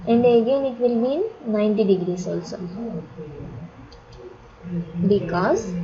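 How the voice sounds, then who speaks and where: A woman's voice: fairly high pitch, lively intonation, fairly quick. Indian woman tutor, dull lo-fi sound, background chatter. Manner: repetitive, instructional, in short broken phrases. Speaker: instructor. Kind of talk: step-by-step software tutorial. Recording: dull lo-fi audio, chatter of voices behind.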